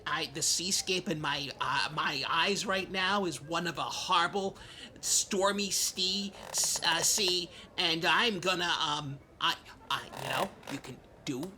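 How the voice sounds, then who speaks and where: A performer playing a dramatic, skittish, childishly petulant male podcast co-host, halting and stammering through improvised comedy chat. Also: medium pitch, pushed and bright, slight background noise. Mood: flustered, petulant.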